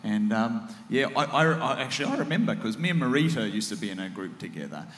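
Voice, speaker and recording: A man with a big booming voice hosting a relaxed church panel chat, enthusiastic and affirming. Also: quick pace. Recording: echoey hall with long reverb.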